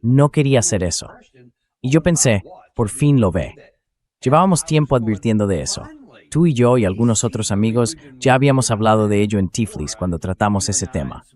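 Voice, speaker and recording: A man's voice: lowish voice, expressive, a little fast, darkish tone. A synthetic male voice-over reading a translated conversation about war and politics in an interview style.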